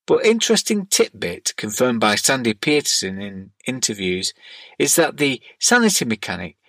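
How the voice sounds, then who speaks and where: A man's voice: mid-range voice, big pitch swings, moderate pace, fairly bright tone, no room sound. Middle-aged man hosting a dry-sounding podcast. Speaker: podcast host. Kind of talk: scripted podcast narration, reading listener letters aloud.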